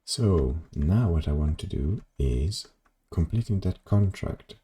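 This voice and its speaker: This is a young man with a very deep, mellow voice. He rambles casually to his stream audience, stumbling through ums and restarts and joking about his own mess. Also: animated, slow pace. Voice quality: very low voice. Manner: rambling, self-deprecating, many ums and restarts. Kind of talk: casual live-stream chat while gaming.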